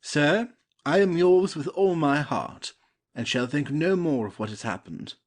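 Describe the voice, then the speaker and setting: A man's voice: fairly high pitch, lively intonation, a little slow. A man playing a gallant nobleman with courtly, formal manners and wounded honour in a comedy audio play.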